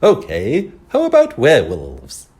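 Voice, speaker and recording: A man voicing a loud, methodical stranger in an audiobook who questions people as if working down a list. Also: medium pitch, huge pitch swings, unhurried, slightly rough, pitched above the performer's own voice, exaggerated voice.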